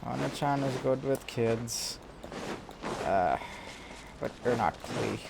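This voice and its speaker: A woman's voice: rumbling low, slow pace, gravelly, background noise. A woman streaming a video game, reacting casually to the characters with playful, dry asides. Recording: game music behind the voice.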